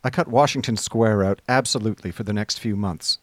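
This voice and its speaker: A man playing an affable, idle upper-class gentleman, narrating a radio drama in breezy old-fashioned slang. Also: lowish voice, fairly quick, no room sound, natural voice. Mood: rueful, breezy.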